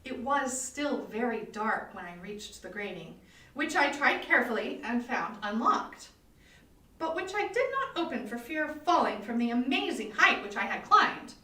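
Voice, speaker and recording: Female horror-story reader: high voice, very animated pitch, theatrical and suspenseful, recorded at a distance in an echoey room. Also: normal speed. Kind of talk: dramatic reading of a gothic horror story. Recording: roomy echo, distant mic, live online stream.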